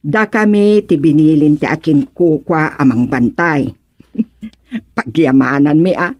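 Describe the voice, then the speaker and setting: A woman's voice: low-pitched, slow pace, no room sound, muffled recording. An actress with an Ilocano accent playing a chatty middle-aged woman who sells barbecue, calling out cheerfully to customers. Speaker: radio drama actress. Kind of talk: radio drama acting.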